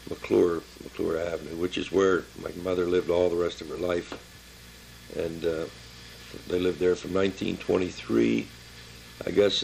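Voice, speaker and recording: An elderly American man in his seventies or older reminiscing informally about his life, halting and plain-spoken as he searches his memory. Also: low-pitched, creaky, slight background noise, rough recording. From Pittsburgh area, Pennsylvania, United States. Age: 70s or older. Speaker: family member recording his life story for a relative.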